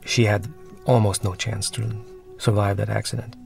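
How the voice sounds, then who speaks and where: A male film director records a commentary over his own movie. His voice is low and gravelly. He speaks haltingly, stopping and restarting as he looks for the right words. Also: little pitch movement, faint music behind.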